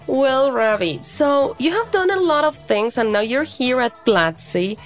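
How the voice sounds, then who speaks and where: A woman's voice: high voice, animated, unhurried, faint music behind. A woman hosting a podcast interview in a conversational style. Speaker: podcast host.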